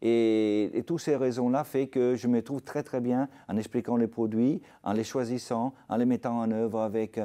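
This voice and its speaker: An older man from Italy with an Italian accent, a restaurateur telling stories in a TV interview, halting with many fillers. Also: medium pitch, fairly even intonation, warm tone. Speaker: restaurateur guest. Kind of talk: TV interview, anecdotal.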